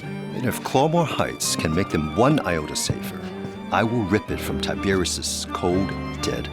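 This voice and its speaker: An actor playing a suave, calculating aristocratic gentleman in a gothic audio drama, speaking in courtly, formal phrases. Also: low voice, big pitch swings, unhurried, over loud music, heavily acted. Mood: grave, determined.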